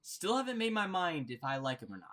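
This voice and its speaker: A man's voice: high voice, wildly swooping pitch, fast, a bit bright, slight background noise. A man hosting a casual reaction commentary, thinking aloud and often repeating and rephrasing himself. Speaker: reaction host.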